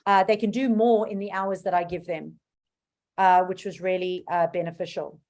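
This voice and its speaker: A woman's voice: lowish voice, steady tone, moderate pace, darkish tone, dull audio. A woman with a South African accent leading an informal class as a business coach, stressing key points emphatically. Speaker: business coach hosting a book club class. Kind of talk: informal online class talk.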